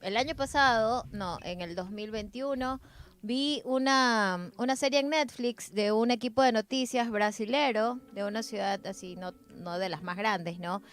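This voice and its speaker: A woman with a somewhat high voice co-hosts a casual talk. She speaks conversationally, with music playing in the background. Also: measured pace. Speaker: podcast co-host. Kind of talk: casual chat.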